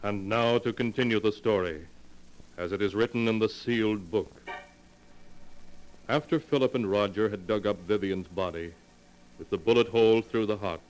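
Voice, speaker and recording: A man plays a mysterious keeper of forbidden tales narrating an old radio drama. His voice is fairly deep, and his delivery is solemn, deliberate and ominous in the manner of the 1940s. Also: faint music behind, close to an everyday voice, low-quality recording. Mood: grave narration.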